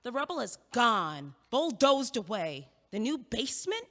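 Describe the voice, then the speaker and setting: Young woman as a bossy teasing student in a radio play, bright voice, swoops up and down, echoey hall. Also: on the high side, very animated, unhurried. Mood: exasperated. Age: young adult. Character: blunt young female student, impatient, mocking. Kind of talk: stage audio play acting. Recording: long hall reverb.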